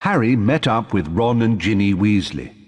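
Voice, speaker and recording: A man's voice: on the low side, animated, moderate pace, darkish tone, breathy edge. A man reading scripted storybook narration in a recording with long reverb.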